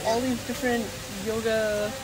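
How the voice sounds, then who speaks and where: A young adult woman chatting casually to camera in a rambling, halting way, often trailing off. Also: on the high side, little pitch movement. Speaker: vlogger. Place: various spots on the go in Bali. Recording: noisy ambient phone-style vlog recording.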